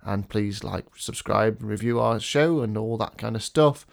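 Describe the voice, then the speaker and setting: A man's voice: fairly low pitch, unhurried, creaky. A man hosting a casual podcast with a blocked nose from a cold, chatty and self-deprecating. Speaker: podcast host. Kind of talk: casual podcast chat with a scripted intro.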